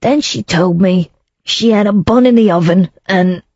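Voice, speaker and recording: A reader voicing a smarmy Cockney salesman in his thirties, nervous and wheedling, pushing the pitch up. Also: normal speed, darkish tone, dry recording, natural voice. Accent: Cockney overlaid with refinement. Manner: wheedling, panicky, raised pitch. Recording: dull lo-fi, dry.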